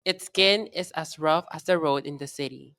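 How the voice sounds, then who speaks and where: A woman puts on an elderly Filipino grandmother from a storybook. Her voice is low, and she teases and soothes as she spins her tales. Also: moderate pace, creaky, fairly bright tone. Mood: spooky storytelling. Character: teasing, chuckling old Filipino grandmother storyteller.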